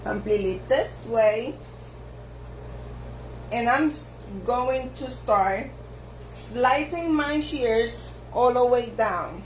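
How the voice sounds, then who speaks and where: A woman hairstylist whose first language is Spanish, giving slow step-by-step instructions for a haircut, with lots of repetition. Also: fairly high pitch, slight background noise. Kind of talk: haircut tutorial narration. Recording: echoey room with faint ambience.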